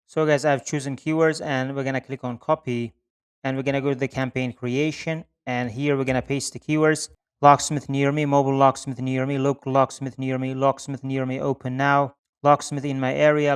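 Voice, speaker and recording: A man's voice: medium pitch, level tone, moderate pace, clear, resonant tone. A man presenting a step-by-step screen-share tutorial in a repetitive, instructional way. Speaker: online marketing tutorial presenter.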